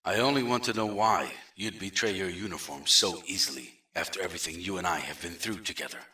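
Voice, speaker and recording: A man's voice: sits low, fairly quick, sharp tone, echoey room, recorded from a distance. An actor playing a coldly polite, threatening male general holding a prisoner. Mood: smooth menace. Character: menacing male general, smooth and patronizing.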